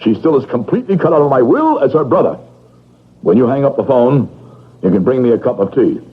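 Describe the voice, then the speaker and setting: A bitter, wealthy old man, stubborn and curt with everyone. His voice is husky and swings scornfully in an old radio drama manner, on a very tinny recording. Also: medium pitch, big pitch swings, fairly quick. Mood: cold, scornful. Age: older.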